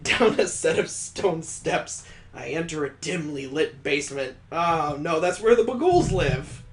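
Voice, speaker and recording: A streamer reads a visual novel aloud in a fairly high voice at an unhurried pace. He cuts in with crude, joking asides and his own mock sound effects. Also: lively intonation. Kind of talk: reading a visual novel aloud with jokey commentary.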